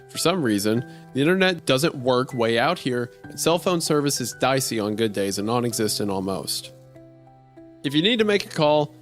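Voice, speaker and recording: A man narrating a strange first-person horror-comedy story in a wry, conversational way. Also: mid-range voice. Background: faint music.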